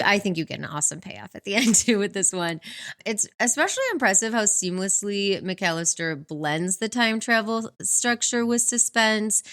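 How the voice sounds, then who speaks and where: A woman's voice: medium pitch, fairly bright tone. A woman co-hosting a book podcast, talking conversationally and enthusiastically as she recommends books. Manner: enthusiastic, chuckles often.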